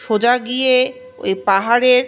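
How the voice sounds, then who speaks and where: A woman voicing a stern, all-knowing motherly ghost chieftain in a cartoon, slow and commanding. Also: on the high side, fairly even intonation, fairly bright tone, faint music behind, natural voice. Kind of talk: children's cartoon voice acting.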